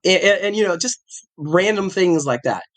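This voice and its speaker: Man in his forties, podcast host, a bit sick, fairly high voice, rambling casual chat. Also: animated, moderate pace, fairly bright tone. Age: 40s. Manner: rambling, self-correcting, chatty. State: slightly sick, with a cough.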